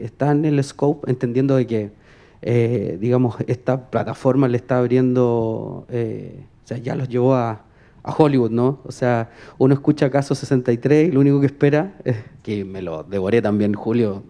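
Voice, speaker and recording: A man's voice: medium pitch, creaky, warm tone. A man asking an informal audience question at a conference, rambling in short, hesitant phrases.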